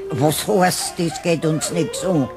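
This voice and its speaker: A man's voice: fairly high pitch, takes it slowly, crowd noise. A man playing a worried, nosy caretaker in a radio play, insistent, with a Bavarian accent. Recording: music playing behind the voice.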